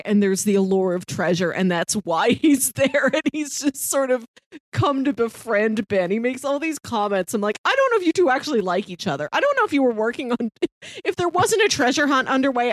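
A woman co-hosting a podcast, chatting casually about films in an animated, amused way. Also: a little high, a little fast, no echo, studio quality. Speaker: podcast co-host. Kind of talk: casual film discussion chat.

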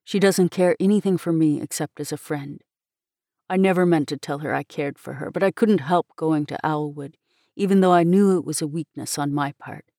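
Woman voicing a low, reserved young minister. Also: warm tone, no echo, clean studio sound. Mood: gentle sadness. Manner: courteous, measured. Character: polite, reserved, gentle young male minister, melancholy in love.

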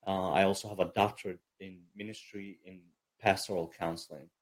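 Low-voiced man from Egypt, chatty. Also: a little fast. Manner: relaxed, chatty, self-deprecating with fillers. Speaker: army chaplain and counselor. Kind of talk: casual interview talk.

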